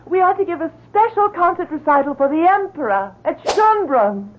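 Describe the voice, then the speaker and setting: Gushing young society wife: high, very animated, theatrical, thin vintage radio sound. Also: female voice, normal speed. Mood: elated. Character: vivacious, adoring young wife and socialite. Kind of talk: old-time radio drama acting. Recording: thin lo-fi old radio broadcast.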